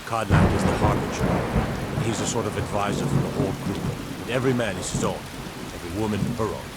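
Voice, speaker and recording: An actor playing a gruff, honest old blacksmith, proud of his craft, in a fantasy video game. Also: lowish voice, fairly quick, very noisy, lightly acted. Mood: proud, earnest. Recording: game dialogue under loud rain and storm.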